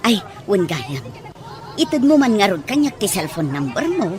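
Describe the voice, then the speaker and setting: A woman's voice: medium pitch, sing-song, moderate pace, a crowd in the background, close to an everyday voice. A woman with an Ilocano accent playing a chatty, obliging middle-aged mother in a radio drama. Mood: friendly.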